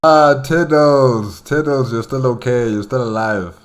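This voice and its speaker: A man hosting a casual reaction commentary, talking in a halting, repetitive, conversational way. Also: medium pitch. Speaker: host reacting to a video.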